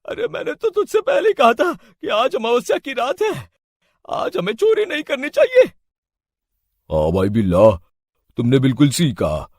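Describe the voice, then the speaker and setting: A man playing a frightened village man in a cartoon story, with an exaggerated, excitable comic delivery. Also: very high voice, normal speed, no room sound, studio quality, exaggerated voice. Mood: panicked. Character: frightened cartoon village men and cowardly thieves.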